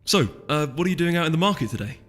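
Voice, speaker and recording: A man's voice: fairly high pitch, expressive, racing, fairly bright tone, voice pushed up. A man voicing a wry, teasing young male pony who acts nonchalant, in a storybook reading. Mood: casual. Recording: long reverb with music underneath.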